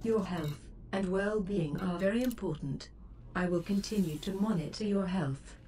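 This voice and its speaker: A woman voicing a self-aware female ship computer in a sci-fi game, polite and superior, speaking in formal, measured, articulate sentences. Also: mid-range voice, mellow, natural voice. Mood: caring, advisory. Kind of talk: scripted game voice assistant lines. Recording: distant, with faint background noise.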